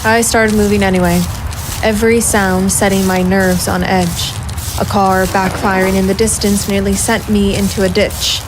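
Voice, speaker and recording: A woman's voice: mid-range voice, level tone, normal speed, noisy background, natural voice. A woman playing a hardboiled, sardonic private investigator in a noir audio drama, with a wry, self-mocking manner. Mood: wry, tense. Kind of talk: first-person noir audio drama narration and dialogue.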